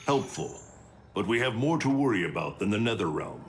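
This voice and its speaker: Low, solemn warrior leader. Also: male voice, big pitch swings, a little hiss or hum. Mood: solemn, urgent. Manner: formal, deliberate. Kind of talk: cinematic video game acting. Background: faint music.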